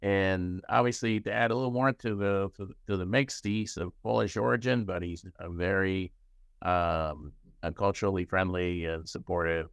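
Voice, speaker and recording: This is an older man from Cuba with a very low, deep voice. His tone is smooth and resonant, recorded dry and close with no room echo. He speaks in a halting, casual way, with frequent fillers and restarts. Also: fairly even intonation. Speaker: child psychiatrist, professor. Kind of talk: casual personal interview.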